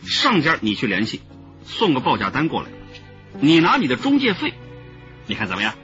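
Bright, lively jovial wheeler-dealer boss. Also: male voice, mid-range voice, fairly quick. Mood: brisk, persuasive. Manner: effusive, chuckling, peppered with interjections. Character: jovial, smooth-talking boss figure haggling over a smuggling deal. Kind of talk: Chinese radio drama acting. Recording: dull radio drama audio with background music.

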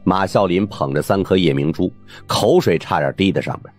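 A man narrating an audiobook in a colloquial, wry and expressive first-person storytelling style. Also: low voice, moderate pace, soft background music.

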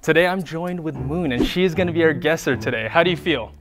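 A man's voice: fairly high pitch, quick pace. Man hosting a studio guessing game. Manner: upbeat, directing contestants. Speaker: host. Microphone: handheld mic.

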